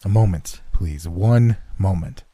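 A man voicing a polite, measured astronomer who asks careful questions. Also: deep voice, dark tone. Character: calm, measured male astronomer.

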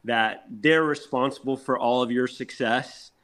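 A man's voice: mid-range voice, fairly even intonation, measured pace, fairly bright tone. A man talking casually in an interview as a rock band member, telling things in an emphatic, boastful, salesmanlike way.

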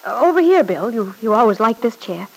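An actress playing an anxious young wife in an old-time radio drama, speaking hesitantly and pleadingly. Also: female voice, fairly high pitch, big pitch swings, a little fast, creaky, background noise. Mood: nervous, polite.

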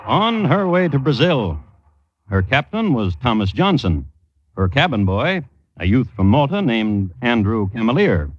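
An actor playing a droll, macabre connoisseur of crime, narrating deliberately and wryly as an old-time radio host. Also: male voice, mid-range voice, big pitch swings, husky. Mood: droll, lecturing. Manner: deliberate, wry, understated. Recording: muffled vintage radio recording.

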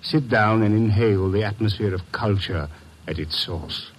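A husky-voiced, condescending sculptor, as a man acts him out, middle-aged and florid, holding forth in old-time radio drama style. His tone slides from grand lecturing to quiet menace. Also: lowish voice, moderate pace, lightly acted. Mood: smug. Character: haughty male sculptor, protective father. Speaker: suspect's father. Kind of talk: 1940s radio acting.

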